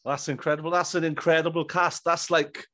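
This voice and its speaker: A man's voice: on the high side, little pitch movement, a little fast, bright, forceful tone. A British man in his thirties hosting a podcast, chatting casually in an enthusiastic, matey way. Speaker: podcast host. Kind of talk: casual interview chat. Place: small room, indoors. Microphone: boom-arm studio microphone and headphones.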